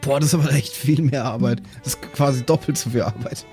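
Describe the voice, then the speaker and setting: A man plays a tabletop game in casual, improvised chat. His voice sits at a middle pitch, with faint music underneath. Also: fast talker, vocal fry, warm tone. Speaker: tabletop role-player. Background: faint background music.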